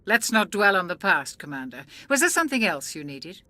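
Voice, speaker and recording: A woman's voice: mid-range voice, sing-song, a little fast, pushed and bright, no room sound. An actress playing a dry, sensible female ship's doctor in a video game cutscene, speaking in a composed, matter-of-fact way.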